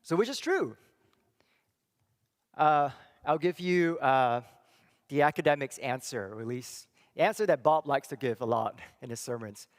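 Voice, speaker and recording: A middle-aged man from Singapore giving a church talk, thoughtful and halting, with many fillers and restarts. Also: on the high side, expressive. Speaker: church speaker and psychology professor. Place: church hall. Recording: long reverb of a hall.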